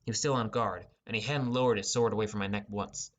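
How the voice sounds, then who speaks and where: A man's voice: lowish voice, steady tone, fast talker, fairly bright tone. A man narrating a scripted first-person fantasy adventure as an audiobook.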